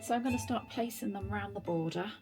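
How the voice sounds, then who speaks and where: A woman's voice: medium pitch, fairly quick, over music. A British woman giving a casual, chatty craft tutorial as a vlog host. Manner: chatty, informal.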